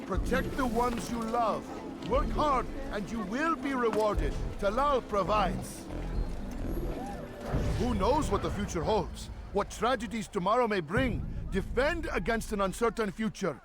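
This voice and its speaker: A high-voiced street crier in a video game, fervently declaiming to the poor. His delivery swings widely and persuasively, with loud music behind him. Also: lively intonation, unhurried. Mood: solemn, exhorting.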